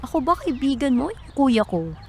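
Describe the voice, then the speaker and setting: Woman playing hurt younger sister, Filipino accent, higher pitch, radio drama, stubborn, slightly noisy recording. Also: big pitch swings, normal speed. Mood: indignant, hurt.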